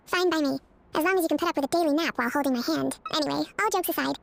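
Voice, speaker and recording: A woman playing a composed, caring young dream therapist in a video game, with a gentle, professional manner. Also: sits high, rapid-fire, creaky. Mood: concerned. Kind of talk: game voice acting.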